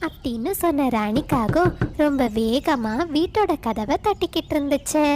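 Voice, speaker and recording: A woman narrates a scripted children's story. Her voice is high, and there is faint noise in the background. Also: animated, moderate pace.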